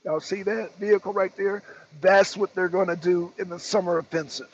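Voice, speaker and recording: A man's voice: high voice, steady tone, normal speed, slight background noise. A man hosting a political talk show, giving blunt, emphatic commentary and repeating phrases for effect. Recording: dull lo-fi audio.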